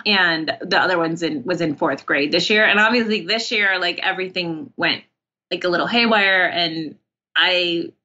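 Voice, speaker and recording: A woman's voice: lowish voice, moderate pace, highs cut off. A woman chatting casually as an interview guest, telling stories in a rambling way full of asides. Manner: rambling, animated storytelling with many 'like' asides. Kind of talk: casual chat.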